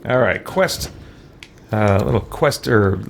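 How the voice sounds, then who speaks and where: Lively man doing game commentary. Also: lowish voice, takes it slowly, vocal fry, slight background noise. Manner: thinks aloud, theatrical exclamations. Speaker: gaming video host. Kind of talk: casual roleplay game commentary. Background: faint game music.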